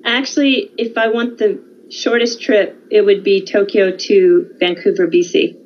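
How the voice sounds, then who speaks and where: A woman's voice: mid-range voice, steady tone, slight background noise. Woman on dull lo-fi audio. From California, United States. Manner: measured, informative, with frequent um and uh pauses. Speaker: guest. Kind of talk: conversational interview.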